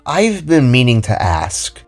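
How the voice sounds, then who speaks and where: A man's voice: mid-range voice, huge pitch swings, slow, faint music behind, full character voice. Laid-back kid brother, casual and teasing, voiced by a man for an audio drama. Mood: eager. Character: younger brother, playful expectant father.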